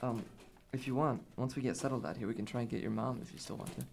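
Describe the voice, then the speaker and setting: A brisk, gravelly-edged teenage boy played by a man lectures like a self-appointed survival strategist. He is pedantic and matter-of-fact, in a radio drama. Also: mid-range voice, a little hiss or hum, close to an everyday voice. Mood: sincere, careful. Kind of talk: audio drama acting.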